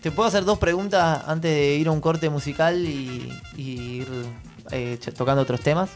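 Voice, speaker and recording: A man hosting a radio interview, asking questions in a relaxed way and backing the guest with short replies. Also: fairly high pitch, moderate pace, faint music behind. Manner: relaxed, fills in with short "claro" and "ujú". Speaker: radio host.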